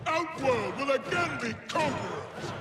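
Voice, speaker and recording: An actor playing a brutal, arrogant warlord emperor in a video game, booming and pompous with menace. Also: male voice, big pitch swings, unhurried, very noisy, full character voice. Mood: commanding, defiant. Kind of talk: dramatic video game acting. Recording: roomy, distant, under loud music.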